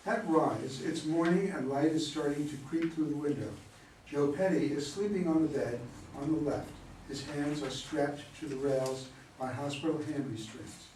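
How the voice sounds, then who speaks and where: A man's voice: mid-range voice, warm tone. A man reading out a play's cast list and stage directions as scripted narration, at some distance in a roomy space. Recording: roomy, distant mic, faint noise.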